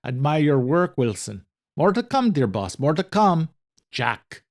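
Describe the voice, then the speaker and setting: A taunting male killer in a horror audio drama, put on by a man narrating, his pitch lively and swooping. He gloats in a colloquial, mocking manner. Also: unhurried. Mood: gloating.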